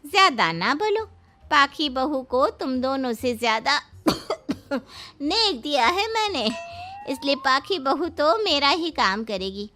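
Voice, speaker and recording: A woman's voice: sits high, big pitch swings, takes it slowly, pushed and bright, heavily acted. A woman voicing a bossy, whiny old mother-in-law in a cartoon, scolding and wheedling melodramatically. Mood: scolding, self-pitying. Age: older.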